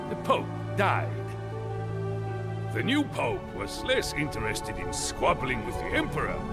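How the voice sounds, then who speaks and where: An actor playing a grave storytelling chronicler in a history game, narrating solemnly with dramatic pauses. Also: male voice, a little high. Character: grave storytelling chronicler of a medieval emperor's campaigns.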